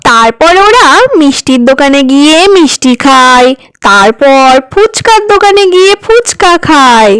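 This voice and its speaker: A woman narrating a children's cartoon story in a simple, even storytelling manner. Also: high-pitched, measured pace, no room sound.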